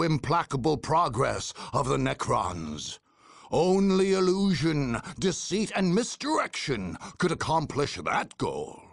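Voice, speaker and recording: An actor playing a grave, grandiose male war chronicler, narrating a game story in a theatrical, deliberate way. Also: on the high side, gravelly, fairly bright tone, dry recording, clean studio sound, heavily acted. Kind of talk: dramatic scripted game narration.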